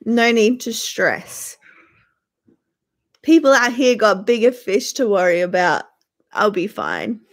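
A woman's voice: medium pitch, big pitch swings, slow, long pauses. A young Australian woman in her twenties, hosting a casual livestream from her bedroom, chatting with viewers by name and sounding stuffy from a sore throat. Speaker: livestream host. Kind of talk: casual live-stream chat with viewers. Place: small bedroom indoors.

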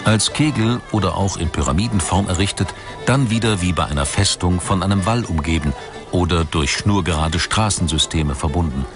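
Deep-voiced male documentary narrator. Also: moderate pace. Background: music.

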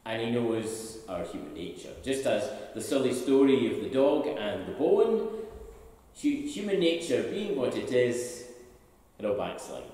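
A man's voice: medium pitch, dark tone. An older man with a Scottish accent, a minister preaching a sermon from a pulpit and asking the congregation rhetorical questions. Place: large church interior, at a pulpit. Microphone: stand mic at pulpit, camera from a distance. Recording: echoey church hall from far off.

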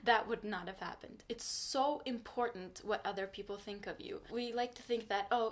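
Young woman, native Turkish speaker, earnest and frank, casual bedroom vlog to camera. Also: medium pitch. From Turkey. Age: young adult. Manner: earnest, frank, advice-giving. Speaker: vlogger. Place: small bedroom indoors. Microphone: camera in front at desk distance.

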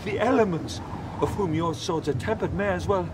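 An actor playing a vengeful airy spirit in harpy form, proclaiming Shakespearean verse with menace in an audio play. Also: male voice, high voice, moderate pace, noisy background, close to an everyday voice. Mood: scornful, menacing.

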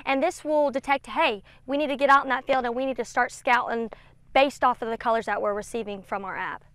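A woman's voice: fairly high pitch, fairly even intonation, fairly quick, a bit bright, smooth, no room sound. A woman in her twenties, a field specialist explaining a product as an interview guest outdoors. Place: outdoors in an open peanut field. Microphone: clip-on lavalier.

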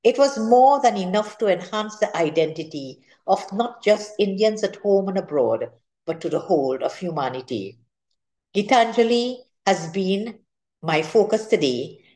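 An older Trinidadian woman reads a prepared speech as an author at a literary gathering. Her delivery is slow and formal, with careful phrasing and a medium-pitched voice. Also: expressive, a little mellow. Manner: measured, formal, careful reading. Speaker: author giving a literary talk. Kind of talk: prepared speech read aloud. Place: indoor room at home, seated at a table.

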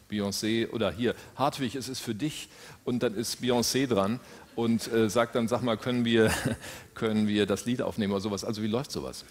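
A man in his fifties hosting a stage interview, chatty and joking, with quick follow-up questions into a handheld mic. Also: lowish voice. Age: 50s. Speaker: TV talk show host. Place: indoor stage with audience. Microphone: handheld microphone.

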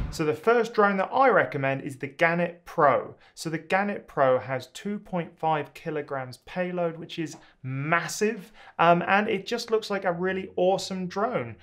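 A man in his thirties casually reviewing drones to camera from a small home studio, relaxed and enthusiastic, with frequent ums and uhs. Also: fairly high pitch, moderate pace.

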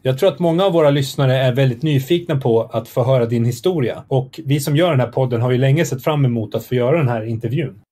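A man's voice: mid-range voice, hardly any pauses, warm tone. A man hosting a podcast, putting questions to his guest in a conversational style. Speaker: podcast host. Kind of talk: conversational interviewing.

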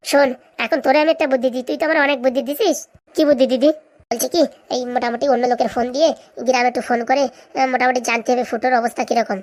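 A woman's voice: high voice, fairly even intonation, rapid-fire, lightly acted. A woman voicing a greedy, scheming village woman in a cartoon comedy, chatty and bickering in colloquial speech.

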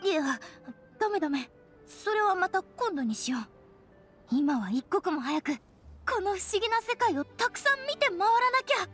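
An eager young ranger girl from a game speaks in a high, animated voice. She is earnest and a little hesitant, with plain tomboyish wording. Faint music sits behind her. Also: moderate pace, heavily acted. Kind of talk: Japanese game character acting.

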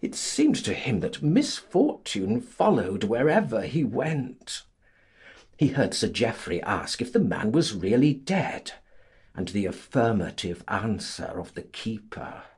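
Husky, very animated male narrator. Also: fairly low pitch. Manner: theatrical, deliberate. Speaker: audiobook narrator. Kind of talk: scripted dramatic literary narration.

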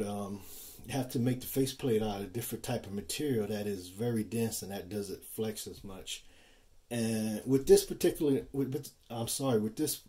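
A man giving a casual explainer as a loudspeaker designer, speaking informally and haltingly, often repeating himself. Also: medium pitch. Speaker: loudspeaker designer presenting own build.

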